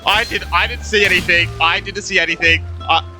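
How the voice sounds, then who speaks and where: A man's voice: high voice, fairly even intonation, a little fast, sharp tone, faint music behind, poor audio. A young man doing casual, reactive playthrough commentary, muttering asides and repeating himself. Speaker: gaming streamer.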